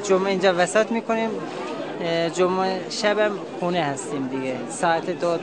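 A man in his thirties from northern Iran answers casually in a high voice, extremely fast. A loud bazaar crowd fills the background. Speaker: market seller being interviewed. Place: large covered bazaar. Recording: loud crowded market hubbub.

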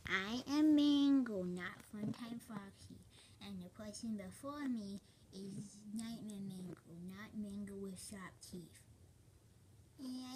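A woman's voice: a little high, noisy background, natural voice. A woman voicing a fussy but friendly animatronic fox toy, insistent and chatty. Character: fussy, friendly fox animatronic plush setting records straight.

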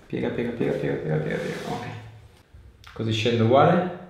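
A man's voice: mid-range voice, very animated, brisk, vocal fry, darkish tone. A man in his thirties working as a chiropractor, chatting casually and giving a patient brief, repeated instructions. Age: 30s. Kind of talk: casual chat and short instructions to a patient during treatment. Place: small bare treatment room, indoors. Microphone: camera a few steps away. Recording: echoey room, distant mic, faint noise.

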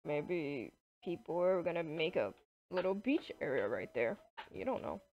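A woman playing an easygoing, affectionate businessman in love, talking casually and haltingly in an improvised game roleplay. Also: deep voice, very animated, voice pushed down, exaggerated voice. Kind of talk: improvised Minecraft romance roleplay. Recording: dull, lo-fi microphone.

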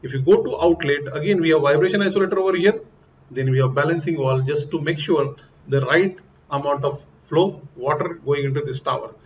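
A man's voice: fairly high pitch, a little fast. A middle-aged man, explaining piping over slides in an earnest, step-by-step way, recorded in a small room on a lapel mic. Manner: earnest, explains step by step, pointing things out on diagrams. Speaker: HVAC instructor. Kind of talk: technical lesson over slides. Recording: dull lo-fi audio with faint noise.

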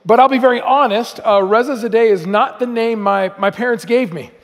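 A man in his forties stands before a church congregation, telling family stories in a loose, self-deprecating way with lots of ums and uhs. His voice sits fairly high for a man. A long reverb trails his words through the large hall. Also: brisk. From Iran, raised in Southern California. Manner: chatty, self-deprecating, many fillers. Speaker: guest speaker. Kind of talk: storytelling sermon. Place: church auditorium.

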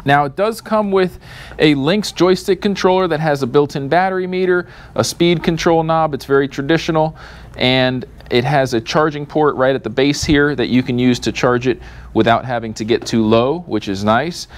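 A man's voice: fairly high pitch, normal speed. A man in his thirties, a store host, walking through product specs in an upbeat sales manner in a showroom. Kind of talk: product walkthrough. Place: showroom full of scooters.

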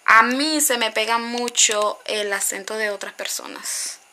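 A woman from Honduras in her thirties talks through a makeup routine into a close webcam. Her voice is fairly high, bright and a bit gravelly with fry. She rambles casually, restarts her sentences and drops in English words. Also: measured pace, a little hiss or hum. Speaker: beauty vlogger. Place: small bedroom, indoors. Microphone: webcam at close range.

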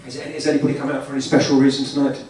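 A man's voice: medium pitch, level tone, rapid-fire. A man in his thirties doing improvised stand-up crowd work, rambling and exasperated, repeating his phrases. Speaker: stand-up comedian. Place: small indoor comedy club stage. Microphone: handheld stage mic, recorded from room. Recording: echoey comedy club, distant camera, loud crowd noise. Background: audience laughter, noise.

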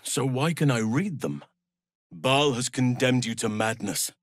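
A male actor playing a grave, earnest elf druid in a video game, speaking solemnly in measured, formal phrases. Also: mid-range voice, lively intonation, dry recording, clean studio sound. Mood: solemn, sorrowful. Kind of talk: scripted game voice lines.

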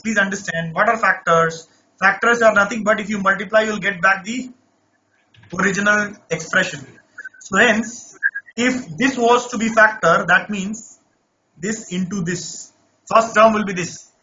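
High, bright man teaching math. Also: lo-fi sound, a little hiss or hum. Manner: coaxing, repeats checks like "right?". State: voice not normal, says he lost his voice. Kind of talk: live online class, problem solving.

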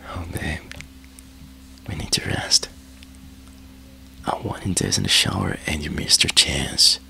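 A man whispering softly in a teasing way, with breathy laughs, in an intimate ASMR roleplay. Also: extremely low pitch, very animated, takes it slowly.

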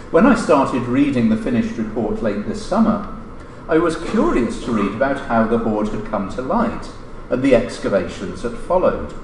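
A man's voice: mid-range voice, a little mellow. Man reading a lecture in an echoey room. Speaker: academic speaker reading a colleague's paper. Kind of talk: scripted conference lecture, read aloud. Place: indoor lecture room, standing at a lectern. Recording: roomy lecture-room echo, noticeable background noise.

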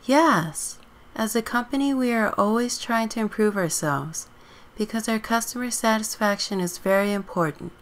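A woman's voice: fairly high pitch, moderate pace, voice pushed up, natural voice. A woman playing a courteous, businesslike female store manager conducting an interview in a scripted game story. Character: polite professional female store manager interviewing job applicants.

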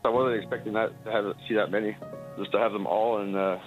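A male fishing guide talks casually in a lowish voice. The audio sounds tinny and phone-like. Faint music sits behind him. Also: fairly even intonation, quick pace. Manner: casual, plain-spoken. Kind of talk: news interview clip.

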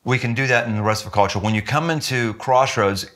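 A man in his fifties, a senior pastor, talking earnestly and emphatically in a seated interview in a living room. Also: fast. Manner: earnest, emphatic, with lively jumps in pitch when stressing points. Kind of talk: sit-down interview conversation. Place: living room with window, indoors.

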